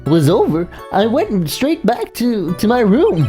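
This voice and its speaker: A woman's voice: a little high, huge pitch swings, a little slow, warm tone, faint music behind. Woman playing a chatty, swooping circus clown. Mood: storytelling. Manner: rambling, eager, stumbling. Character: chatty, eager male circus clown witness fond of bad jokes. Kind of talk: amateur voicing of a courtroom video game.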